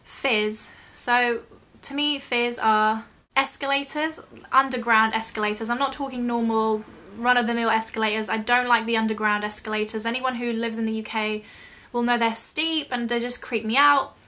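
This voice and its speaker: A woman's voice: fairly high pitch, fairly even intonation, moderate pace, few pauses, pushed and bright. A young British woman chatting casually in a question-and-answer vlog, rambling a little and self-deprecating. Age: young adult. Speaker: YouTube vlogger.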